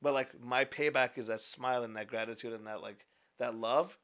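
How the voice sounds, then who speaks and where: A man's voice: medium pitch, fairly even intonation, normal speed, a bit bright. A man in his twenties, a business founder talking as a podcast guest, earnest and rambling with many restarts. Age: 20s. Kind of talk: casual podcast conversation.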